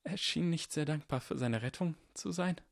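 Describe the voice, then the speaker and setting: Audiobook role: timid yet plainspoken schoolboy, earnest, halting, lightly raised pitch, played by a man. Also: gravelly, no echo, studio quality. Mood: shy, sincere. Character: shy, sincere schoolboy, gentle but plainspoken.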